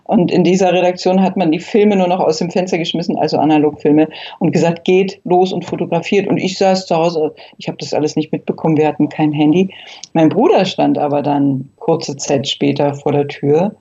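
A woman's voice: deep voice, steady tone, brisk, dark tone, husky, dull audio. A woman in her fifties from Berlin, recollecting reflectively as an interview guest and carefully qualifying what she says. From Berlin, East Germany.

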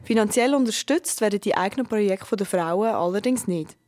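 A female radio reporter, reading a scripted news feature in a measured, even way. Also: medium pitch.

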